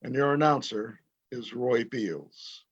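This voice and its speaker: A man's voice: mid-range voice, slow pace, breathy edge, dull audio. A man making a scripted announcement as the announcer of a radio play.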